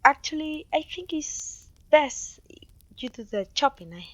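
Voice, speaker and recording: A woman voice acting a clumsy, kindly, apologetic witch in an ASMR fantasy roleplay, with a gentle, polite, slightly old-fashioned way of talking. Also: sits high, lively intonation, measured pace, dry recording. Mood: gentle, instructive.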